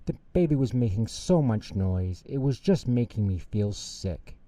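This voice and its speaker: A man's voice: on the low side, expressive, unhurried, mellow, no room sound. A man voicing a blustering, bossy male school principal in a storybook, commanding and exclaiming. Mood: relieved.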